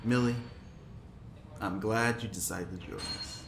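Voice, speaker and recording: A man's voice: lowish voice, measured pace, vocal fry, roomy sound, noisy background. A man playing a genteel, encouraging prisoner who leads a knitting circle in an audio drama, fussy and courteous. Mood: kindly, encouraging.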